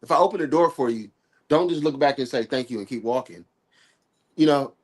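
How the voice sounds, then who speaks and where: A man's voice: medium pitch, fairly quick, slightly rough. Man in his forties, a little nasal from a cold. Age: 40s. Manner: relaxed, thoughtful, slangy. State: sick, a bit nasal. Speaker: guest on a live stream talk. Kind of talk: casual chat.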